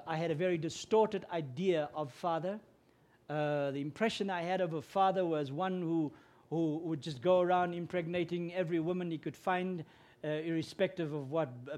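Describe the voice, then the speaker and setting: A man's voice: on the high side, steady tone, normal speed. Afrikaans-man preaching. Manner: earnest, grows louder and more emphatic when preaching. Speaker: guest preacher. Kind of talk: sermon.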